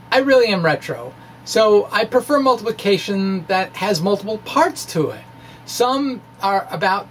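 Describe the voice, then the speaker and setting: A man giving a dramatic, conversational reading as a physics explainer, with wry asides and a halting delivery. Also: high voice, fairly bright tone, a little hiss or hum. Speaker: amateur physics presenter.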